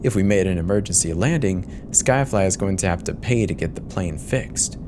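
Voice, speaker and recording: Man playing uneasy, low-voiced airline co-pilot. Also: lively intonation, moderate pace, noisy background. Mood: grim, resigned. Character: uneasy, cynical male co-pilot in a failing plane.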